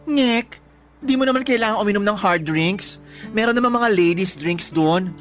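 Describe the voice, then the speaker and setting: A woman's voice: mid-range voice, moderate pace, faint music behind, natural voice. A woman playing a nosy, sarcastic young friend in a Tagalog radio drama, teasing in slangy Taglish. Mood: nosy prodding. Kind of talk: Tagalog radio drama, natural conversational acting.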